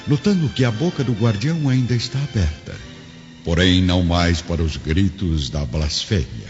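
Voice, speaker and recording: A man's voice: low voice, highs cut off. A man narrating a scripted radio drama in a solemn, theatrical, emphatic manner. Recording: band-limited sound, loud music underneath.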